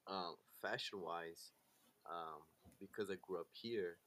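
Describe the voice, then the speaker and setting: A man's voice: sits low, takes it slowly, a bit bright, slight background noise. A Brazilian-born man in his late twenties, a podcast guest talking loosely with lots of fillers and restarts. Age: late 20s. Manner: laid-back, loose talk with many fillers and restarts. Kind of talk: casual interview chat.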